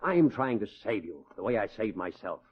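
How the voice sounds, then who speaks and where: A man acting a bitter, wealthy, domineering father in a radio drama, scornful and forceful, with a husky voice. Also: lowish voice, big pitch swings, moderate pace. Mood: exasperated. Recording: muffled old-time radio recording.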